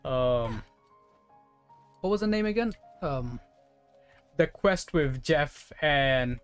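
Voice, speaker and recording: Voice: medium pitch, expressive, slow pace, clean tone, faint music behind. A game streamer giving casual gameplay commentary in a rambling, thinking-aloud way. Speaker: game livestreamer.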